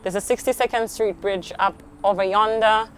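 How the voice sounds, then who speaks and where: A woman's voice: medium pitch, steady tone, normal speed, a little hiss or hum, rough recording. A young woman from Uganda, answering interview questions outdoors in a chatty, reflective way, often saying "like". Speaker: guest, tree program coordinator. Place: outdoors at a tree nursery.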